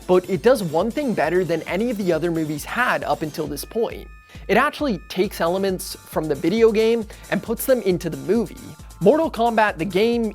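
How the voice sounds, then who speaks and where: A host narrating a scripted video essay in a casual, conversational way. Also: mid-range voice. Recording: music under the voice. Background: background music.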